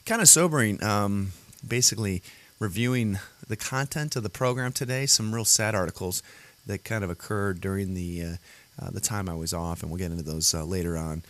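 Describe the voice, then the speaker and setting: A man hosting his own show in casual solo chat, his voice gravelly and worn today, rambling with fillers and restarts. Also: lowish voice, a little fast, a bit bright. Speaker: podcast host. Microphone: computer audio setup.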